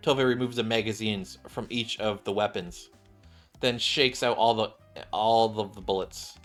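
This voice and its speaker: A man's voice: fairly low pitch, fairly even intonation, normal speed, fairly bright tone. A man streaming a game, reading its story text aloud and often stumbling and rereading lines. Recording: game music behind the voice. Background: game music.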